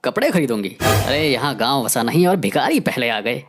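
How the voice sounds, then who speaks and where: A very low-pitched, swooping female-acted village man from a cartoon folk tale, cocky and scheming. He talks emphatically and colloquially, quick to scoff and boss people around, with music playing underneath. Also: sing-song. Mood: scolding. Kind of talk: animated Hindi moral story dialogue. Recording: music behind the voice.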